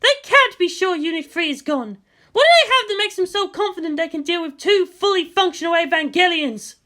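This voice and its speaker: A woman's voice: very high-pitched, animated, fairly quick, pushed and bright, pitched above the performer's own voice, full character voice. A woman voicing a fierce, defiant female military commander in a dramatic story, intense and emphatic. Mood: frustrated.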